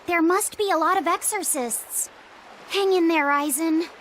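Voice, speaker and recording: A woman playing a gentle, earnest young boy in a video game, speaking sincerely and a little hesitantly. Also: very high-pitched, unhurried, a little hiss or hum. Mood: concerned, earnest. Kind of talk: English video game voice acting.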